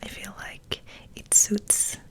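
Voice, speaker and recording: Slow whispering woman, soft ASMR host. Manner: gentle, hushed, unhurried, often repeating words softly.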